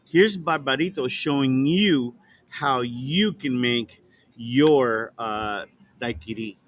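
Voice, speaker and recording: A man's voice: mid-range voice, slow pace, a little hiss or hum. Enthusiastic man hosting an outdoor podcast. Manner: easygoing, enthusiastic, many fillers. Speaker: podcast host. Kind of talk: casual chat. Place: outdoor back alley behind a restaurant bar at night.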